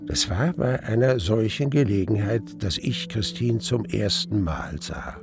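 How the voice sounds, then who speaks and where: A brooding male narrator in a radio play, recounting his past in a rather low, creaky voice. Soft music runs behind the storytelling. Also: lively intonation, measured pace. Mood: fond recollection. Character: brooding male narrator recalling his lost youth and love. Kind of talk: scripted first-person radio drama narration.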